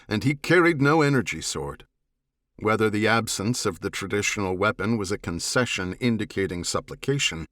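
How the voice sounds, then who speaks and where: A man narrating a science fiction audiobook in a measured, serious and dramatic manner. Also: fairly low pitch, no room sound, studio quality.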